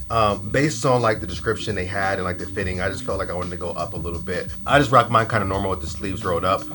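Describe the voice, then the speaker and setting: Laid-back young man with a stuffy nose. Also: lowish voice. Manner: laid-back, slangy, rambling with fillers. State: nasal from a cold. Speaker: fashion vlogger. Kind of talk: casual haul video.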